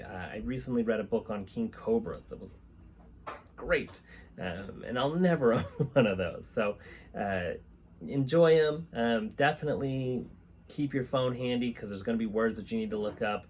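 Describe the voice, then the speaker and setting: A man's voice: fairly low pitch, animated, normal speed, darkish tone. Man in his thirties, noisy room. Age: 30s. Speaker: host of a reptile-keeping channel, reading books aloud. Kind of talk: reading aloud and informal talk to camera. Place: small indoor room with reptile enclosures and a fan. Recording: clear background room noise.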